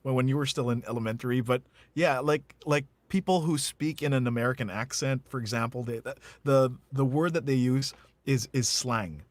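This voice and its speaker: A man from the Philippines hosting the interview, asking questions in a halting, stammering way. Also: medium pitch, no room sound. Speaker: host.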